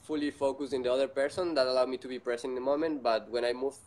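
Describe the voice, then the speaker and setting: A man who speaks English as a second language, talking casually as an interview guest in a halting, repetitive way with many fillers. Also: mid-range voice, steady tone, darkish tone. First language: not English.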